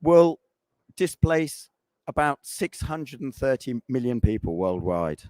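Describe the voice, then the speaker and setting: A man who is losing his voice and sounds croaky, presenting at a conference and rambling with fillers. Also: mid-range voice, very animated, slow pace, a little mellow, no echo. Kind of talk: conference presentation and panel chat.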